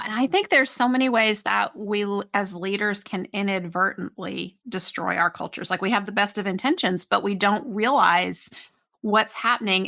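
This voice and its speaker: A woman interviewing a guest on a podcast. Her voice is bright with a lot of vocal fry, and she asks curious, probing questions. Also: medium pitch. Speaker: podcast host.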